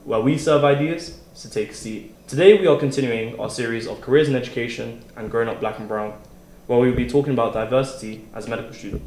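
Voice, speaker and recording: A man's voice: medium pitch, fast talker, a little mellow, echoey room, low-quality recording. A man reading a scripted podcast introduction as its host.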